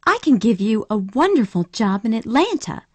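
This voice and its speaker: A woman doing a headstrong young southern lady, high and urgent, dry sound. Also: very animated, moderate pace, a little mellow, husky, no echo. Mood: eager, pleading. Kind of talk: storybook reading.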